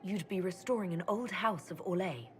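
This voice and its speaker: Composed lower-voiced heroine, played by a woman. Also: moderate pace, slight background noise, lightly acted. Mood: diplomatic. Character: composed, diplomatic heroic female leader. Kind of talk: video game cutscene acting.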